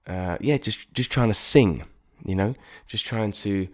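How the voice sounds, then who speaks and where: Male singer-songwriter, deep nasal voice, rambling and self-critical vlog chatter. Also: unhurried, a little mellow. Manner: rambling, self-deprecating, frequent restarts. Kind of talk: reflective casual vlog talk.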